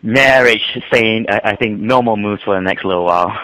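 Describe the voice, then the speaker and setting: Hesitant low-voiced man from Malaysia. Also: moderate pace, pushed and bright, no echo, low-quality recording. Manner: hesitant, many ums and restarts. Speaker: guest trader and author. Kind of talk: casual interview answers.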